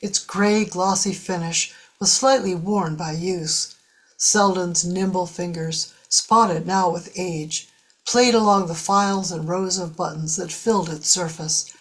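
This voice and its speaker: A woman's voice: on the low side, fairly even intonation, unhurried. A woman reading scripted narration for an audio drama.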